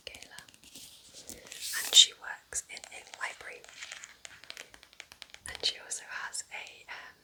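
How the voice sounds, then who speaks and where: A woman whispering softly in a gentle, unhurried and chatty ASMR style, recommending books as in a cosy roleplay. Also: poor audio. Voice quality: soft whisper. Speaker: ASMR host playing a kind witch recommending books.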